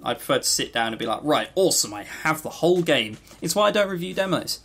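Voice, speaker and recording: Guy on a podcast, bit of vocal fry, opinionated but hesitant, casual review chat. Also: a little high, lively intonation, quick pace, a bit bright. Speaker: podcast co-host.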